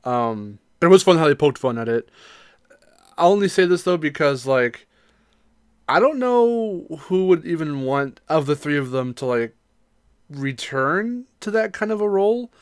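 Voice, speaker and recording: A man's voice: on the high side, expressive, measured pace, smooth, no echo. Man chatting on a podcast, dry close sound. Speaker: podcast co-host. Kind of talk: casual podcast discussion.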